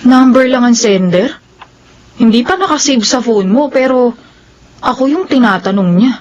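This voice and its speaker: A woman's voice: a little high, animated, moderate pace, darkish tone. An actress playing a stressed, suspicious woman questioning her sweetheart in an audio soap drama, with an insistent, probing manner. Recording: dull, noisy lo-fi audio.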